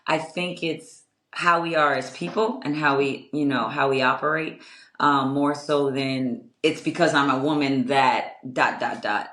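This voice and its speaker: A young adult woman with a strong voice, answering interview questions in a measured, reflective way with many fillers. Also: steady tone, fairly bright tone. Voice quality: strong, low-ish voice. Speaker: guest, construction company owner. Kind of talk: interview conversation. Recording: echoey room.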